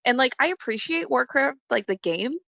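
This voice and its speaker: A woman's voice: high-pitched, expressive, normal speed, vocal fry, pushed and bright, dry recording. A woman chatting casually as a podcast guest, jokey and self-deprecating, peppering her talk with "like". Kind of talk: casual chat.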